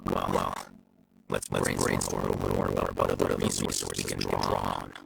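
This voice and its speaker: A man playing a stern, principled lawman in an anime game, speaking in a formal, deliberate, severe manner. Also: extremely low pitch, little pitch movement, creaky, heavy background noise, heavily acted.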